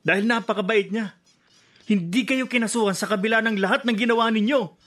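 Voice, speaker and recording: A man's voice: sits high, fairly quick, a bit bright. A man with a Filipino accent playing an earnest, hurt young son in a radio drama. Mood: indignant. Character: earnest, hurt, indignant young son confronting his father.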